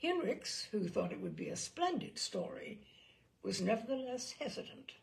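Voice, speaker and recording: Woman narrator, memoir reading, gravelly, lively swinging pitch, dramatic phrasing. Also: moderate pace. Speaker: storyteller reading a memoir aloud. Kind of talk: expressive audiobook-style narration.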